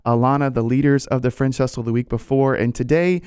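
A man's voice: medium pitch, steady tone, fast, darkish tone, smooth, dry recording, studio quality. Chatty, enthusiastic male show host. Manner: enthusiastic, chatty, laughs easily. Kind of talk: upbeat interview chat.

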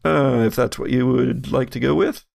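Male game master for a horror tabletop podcast: deeply creaky voice, deliberate scene-setter, dry recording. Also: fairly even intonation, a little mellow, no room sound. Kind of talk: narrating a tabletop horror game, with casual chat.

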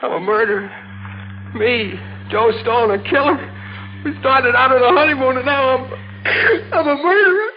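An actor playing a panicky young newlywed husband in 1940s radio drama style, urgent and stammering. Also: male voice, very high voice, moderate pace, pauses often. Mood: panicked horror. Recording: noisy muffled old radio broadcast.

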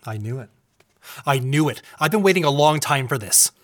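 A man's voice: medium pitch, lively intonation, normal speed, fairly bright tone, lightly acted. An actor playing a stubborn husband in an audio drama, defensive and insistent as he justifies himself and pleads his case. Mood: exasperated, defensive.

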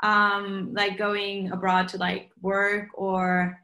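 A woman's voice: medium pitch, level tone, slow, pushed and bright, roomy sound, rough recording. A young woman from Norway with a Norwegian accent, a singer chatting casually in an interview and saying "like" all the time. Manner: chatty, says "like" constantly.

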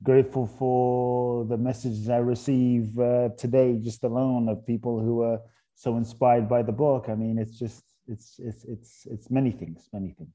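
A middle-aged man from Ghana, a guest author speaking in a thoughtful, halting way about spiritual ideas on a podcast. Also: medium pitch, little pitch movement, mellow. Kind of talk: reflective podcast conversation.

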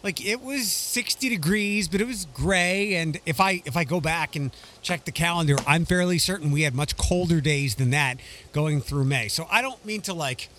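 A man, chatting casually as a podcast co-host in a dry, wry, measured way. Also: fairly high pitch, fairly bright tone, a little hiss or hum. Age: 40s. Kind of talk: casual chat.

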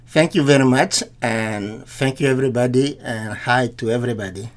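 A man's voice: medium pitch, moderate pace. A middle-aged man from Congo with a Congolese accent, a nurse telling his personal story as an interview guest.

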